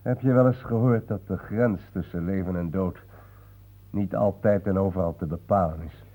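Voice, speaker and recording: An actor with a Netherlands Dutch accent playing a grave, courteous magazine reporter in a radio play. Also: male voice, sits low, normal speed, dark tone, poor audio. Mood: grave, calm. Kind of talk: naturalistic radio drama dialogue.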